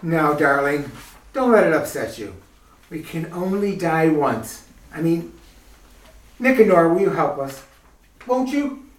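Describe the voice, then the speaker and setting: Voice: mid-range voice, sing-song, measured pace, long pauses. An actor playing a nervous young male groom in a 1940s-style radio play, with earnest, anxious pleading. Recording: echoey room, distant mic.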